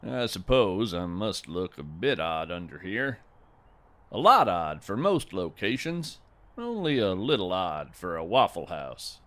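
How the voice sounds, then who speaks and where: A man playing an earnest, folksy naturalist who narrates audio fiction in florid, wonderstruck, deliberate phrases. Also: mid-range voice, fairly bright tone. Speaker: podcast host and narrator. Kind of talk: scripted first-person audio fiction narration.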